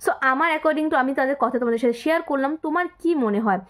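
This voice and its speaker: High-voiced woman hosting a commentary video. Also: quick pace. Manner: repeats words for emphasis, mixes in English phrases. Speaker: video host commenting on viral clips. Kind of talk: casual commentary addressed to viewers.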